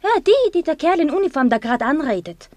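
A woman's voice: sits high, big pitch swings, a little fast, dark tone, dry recording. An actress playing a naive, gossipy woman of the street in a radio drama, breezy and colloquial.

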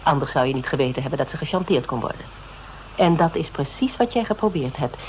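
A woman's voice: low-pitched, animated, quick pace. An actress playing a shrewd, mocking female interrogator in a radio drama, with a needling, conversational manner. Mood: accusing, probing. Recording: noisy radio drama recording.

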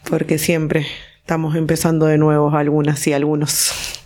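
A woman's voice: sits low, completely flat delivery, moderate pace, a little mellow. A woman hosting a community radio program, chatting expressively about writing and introducing readers. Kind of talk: casual radio chat.

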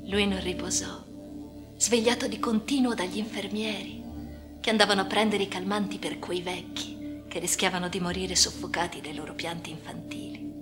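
Casting: woman playing a troubled, defiant young woman, intense radio-drama monologue, medium pitch, clear music underneath. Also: fairly even intonation, normal speed, lightly acted. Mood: wistful, hushed. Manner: intense, swinging between hushed and agitated. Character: troubled, defiant young woman recounting her hospital confinement.

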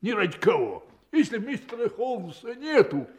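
A man's voice: high-pitched, very animated, moderate pace. An actor playing a grumpy, stubborn old coachman out of work in a radio play, muttering and drawling, with coughs and groans. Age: older.

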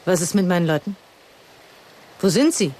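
A woman's voice: lowish voice, expressive, moderate pace, warm tone. An actress playing a serious, idealistic female guerrilla held captive in a radio play, speaking tersely. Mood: bitter, tense.

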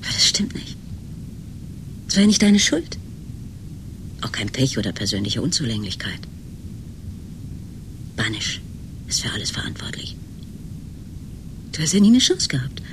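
An actress playing a worried, intense woman in a German radio drama, pleading emphatically and often sinking into breathy whispers. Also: a bass voice, big pitch swings, moderate pace, pauses often, fairly bright tone, exaggerated voice. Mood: hushed pleading. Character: worried, urgently pleading woman, partner of chess player. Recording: noisy 1980s radio drama recording.

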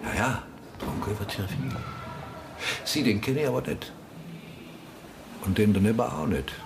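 Ein Schauspieler spielt in einem Hörspiel einen redseligen, trocken-ironischen Ermittler. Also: male voice, on the low side, expressive, a little slow, gravelly. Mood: chatty. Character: chatty, wry male sleuth on a stakeout. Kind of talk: radio play dialogue. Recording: noisy ambience behind.

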